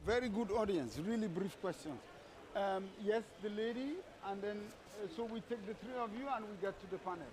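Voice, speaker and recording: A man's voice: high voice, fairly quick, crowd noise, low-quality recording. A man moderating a panel's audience questions in short, directive, unscripted phrases. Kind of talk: brisk event moderation, unscripted.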